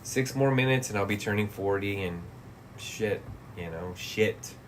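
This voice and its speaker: Low-voiced guy in his late 30s chilling on stream, cussing and mumbling, faint hum behind. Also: a little slow. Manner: laid-back, profane, laughs often, trails off into mumbles. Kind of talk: casual livestream chat, reading chat comments.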